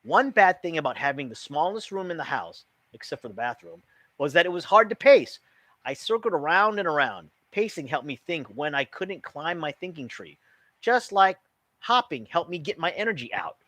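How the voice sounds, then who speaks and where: Male read-aloud narrator, bright tone, lively pitch, expressive children's book style. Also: moderate pace, no echo.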